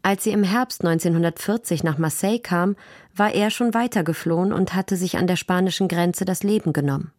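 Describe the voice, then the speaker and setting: A woman's voice: lowish voice, a little fast, darkish tone, no room sound, studio quality. A woman reading scripted narration for a radio documentary. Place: dry radio studio.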